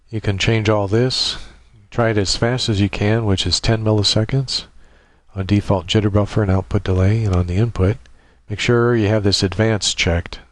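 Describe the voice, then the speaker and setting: A man with a low-pitched voice talks through a software setup step by step. His delivery is informal and somewhat halting, like a casual tutorial. The sound is dry, with the microphone close and very little room in it. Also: dry recording. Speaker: tutorial presenter.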